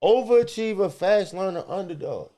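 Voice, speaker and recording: A man's voice: high voice, lively intonation, moderate pace, mellow. A man co-hosting a podcast, talking in a boastful, rambling way, repeating himself and swearing. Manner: boastful, rambling, repetitive, profane. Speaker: podcast co-host. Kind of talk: casual podcast banter.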